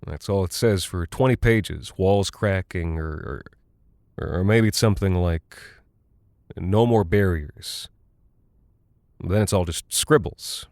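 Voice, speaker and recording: An actor voicing a preoccupied American aircrew buddy in an audio drama, blunt and colloquial, with a hesitant, muttering delivery. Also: male voice, deep voice, slow pace, a little mellow, no echo, studio quality. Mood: puzzled, absorbed. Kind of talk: quoted dialogue in audio drama.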